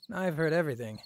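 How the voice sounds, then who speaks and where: Young husband, gentle, reassuring, protesting, lively intonation, radio drama. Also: male voice, fairly high pitch, a little hiss or hum. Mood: exasperated. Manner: coaxing, protesting.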